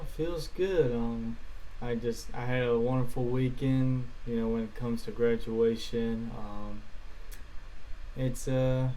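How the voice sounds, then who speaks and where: Halting young man co-hosting a podcast. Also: mid-range voice, a little mellow, slight background noise, low-quality recording. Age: early twenties. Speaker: podcast co-host. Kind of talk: casual chat.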